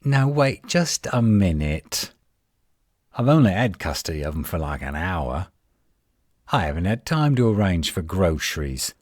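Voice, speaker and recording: A man playing a defensive, flirtatious tsundere werewolf in a roleplay audio drama, indignant and sarcastic with a husky voice. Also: low-pitched, big pitch swings, measured pace, no echo, studio quality, exaggerated voice.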